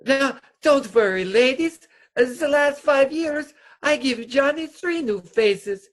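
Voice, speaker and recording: A high-voiced, nervous crooked doctor in a radio comedy, played by a woman. The delivery is slow, hesitant and placating, in broken English, like a tipsy henchman soothing a boss. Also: expressive. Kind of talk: stage comedy radio acting.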